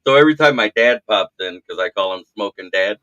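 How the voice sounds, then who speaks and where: A man's voice: fairly low pitch, quick pace, lo-fi sound. A man hosting a casual sports podcast, talking in a practiced radio voice and poking fun at himself. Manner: self-deprecating, animated storyteller with a practiced radio voice. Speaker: podcast host. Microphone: podcast mic.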